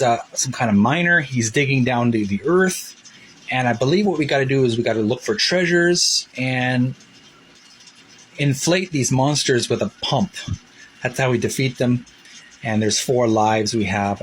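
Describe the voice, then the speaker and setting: A man hosting a casual retro gaming show-and-tell, explaining things in a halting, meandering way and sometimes reading aloud. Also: on the high side, a little hiss or hum. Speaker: host of a retro gaming book video channel.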